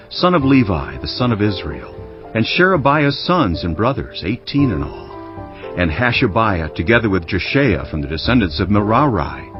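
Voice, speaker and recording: Male Bible narrator, low register, very animated intonation, solemn dramatized delivery over music. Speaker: scripture narrator. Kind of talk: dramatized Bible narration, first-person scribe's account. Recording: dull lo-fi audio with music bed. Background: background music.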